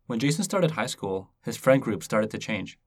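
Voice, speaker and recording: A man's voice: on the low side, sing-song, normal speed, no echo. Curious man interviewing, dry sound. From Canada. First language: Cantonese. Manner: curious, gently probing. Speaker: podcast host and interviewer. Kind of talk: conversational interview.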